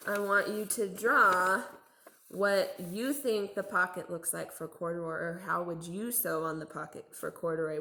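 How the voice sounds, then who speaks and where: A woman's voice: lowish voice, pushed and bright. A woman teacher reading a children's storybook aloud expressively. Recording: echoey room.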